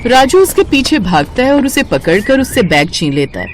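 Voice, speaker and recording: A woman's voice: fairly high pitch, very animated, moderate pace, a little hiss or hum. A woman reading a scripted story as its narrator.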